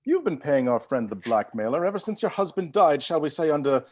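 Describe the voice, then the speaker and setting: Man playing a pedantic, husky, swooping butler. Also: fairly high pitch, big pitch swings, a little fast, a little mellow. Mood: accusing, smug. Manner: pedantic, pointed, theatrical. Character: fussy, pedantic, accusing male butler hosting suspicious dinner guests. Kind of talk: live table read of a film script.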